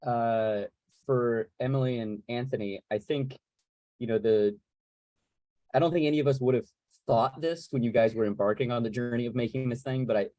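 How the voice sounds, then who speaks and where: A man's voice: mid-range voice, normal speed, warm tone, no echo. A man moderating a casual Q&A over a video call, talking in a conversational way. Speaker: panel moderator.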